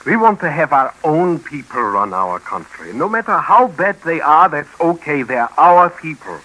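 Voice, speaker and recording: An actor playing a wry, plain-spoken exile in a conversational 1950s radio drama scene. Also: male voice, on the high side, sing-song, normal speed, sharp tone, exaggerated voice. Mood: defiant. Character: wry, plain-spoken male exile homesick for self-rule. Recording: faintly noisy vintage radio recording.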